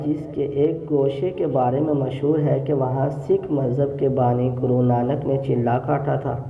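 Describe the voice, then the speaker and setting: A male narrator tells a religious tale from a script, taking his time over each phrase. His delivery is formal and measured, at an average male pitch. The sound is narrow and phone-like. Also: roomy sound.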